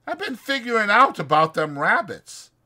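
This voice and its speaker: Man putting on a high-pitched old ranch hand. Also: big pitch swings, moderate pace, bright, forceful tone, heavily acted. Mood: hesitant, friendly. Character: hesitant, awkward old male ranch hand. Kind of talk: dramatized audiobook character acting.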